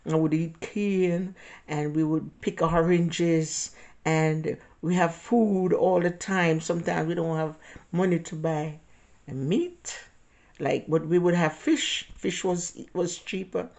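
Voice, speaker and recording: An older woman with a Jamaican accent tells stories about her own life in a loose, rambling way, often correcting herself and laughing softly. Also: fairly low pitch, slow pace, vocal fry. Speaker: interviewee. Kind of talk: casual personal storytelling.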